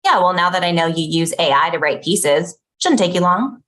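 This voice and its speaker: A woman's voice: on the low side, expressive, normal speed, fairly bright tone. A woman playing a controlling, self-important ad agency boss in a comedy table read, curt and commanding with a touch of pomposity. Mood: demanding.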